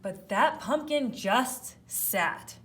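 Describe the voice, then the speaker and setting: A woman narrating a children's storybook read-aloud with expressive emphasis. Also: a little high, extremely slow.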